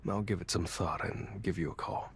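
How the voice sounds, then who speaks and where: A man playing a calm, reserved young hunter with terse, restrained delivery in a game cutscene. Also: sits low, normal speed, a little hiss or hum. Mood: guarded, calm. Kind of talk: video game cutscene acting.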